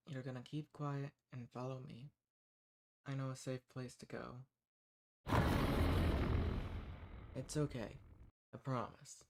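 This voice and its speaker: A man's voice: medium pitch, a little mellow, lightly acted. Casting: male actor for a folksy rebel posing as a monster hunter, mid-range voice, audio roleplay. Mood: hushed, reassuring. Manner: folksy, reassuring. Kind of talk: scripted roleplay audio drama addressed to the listener.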